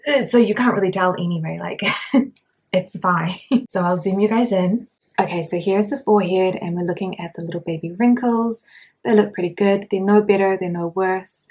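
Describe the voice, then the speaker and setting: A young adult woman reviewing a beauty product at home in a casual, chatty way, her voice lowered by illness. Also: moderate pace, a little mellow. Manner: chatty, frequent fillers. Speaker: beauty vlogger. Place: at home indoors.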